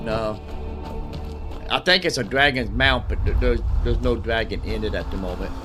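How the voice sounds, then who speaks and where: A man's voice: fairly low pitch, moderate pace. Man musing aloud, music behind. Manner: repeats himself, musing aloud. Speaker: game streamer. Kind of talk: casual live gameplay commentary.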